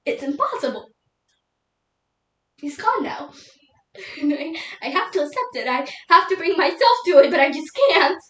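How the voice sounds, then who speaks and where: A woman playing a grieving young widow in a solo dramatic monologue, emotional and halting, tearful and doubting God. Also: high-pitched, very animated, pushed and bright, heavily acted. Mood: tearful grief. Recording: echoey room.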